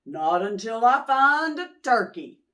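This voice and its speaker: Woman as a kindly, unhurried moose husband. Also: fairly high pitch, very animated, fairly bright tone, full character voice. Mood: kindly, determined. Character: big kindly moose husband, devoted and determined. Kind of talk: children's picture book read-aloud.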